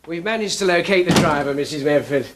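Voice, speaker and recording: An actor plays a helpful, well-mannered English policeman in a TV sitcom. His voice sits a little high for a man. There is clear noise on the old recording. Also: quick pace, lightly acted. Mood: helpful, courteous. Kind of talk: British TV sitcom acting.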